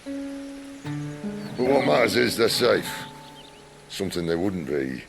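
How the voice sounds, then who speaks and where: Deep, gravelly, wry man. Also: huge pitch swings, takes it slowly, full character voice. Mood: wry, relieved. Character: gruff, wry, protective leader of fantasy outlaws. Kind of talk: dramatic video game cutscene acting. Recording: loud music over the voice.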